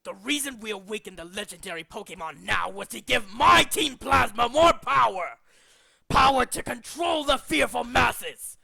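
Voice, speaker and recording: A man voicing a megalomaniac villainous cult leader from a video game, scheming, pompous and contemptuous, moving between hushed menace and ranting. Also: very high voice, animated, moderate pace, gravelly, full character voice. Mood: furious, contemptuous. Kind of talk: theatrical video game villain monologue, demo reel.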